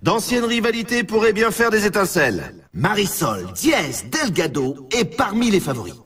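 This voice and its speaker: High, husky, hyped race announcer. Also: male voice, wildly swooping pitch, moderate pace, a bit bright, recorded from a distance, faint music behind, heavily acted. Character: hyped male snowboard race announcer, upbeat showman. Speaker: game announcer. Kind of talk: excited sports commentary.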